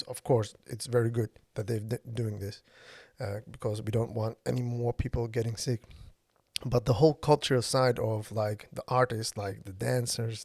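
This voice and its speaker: A man in his thirties, from Ethiopia and a native Somali speaker, hosting a casual solo podcast and speaking haltingly with many fillers. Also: mid-range voice, vocal fry, a little mellow, dry recording, studio quality. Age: 30s. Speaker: podcast host.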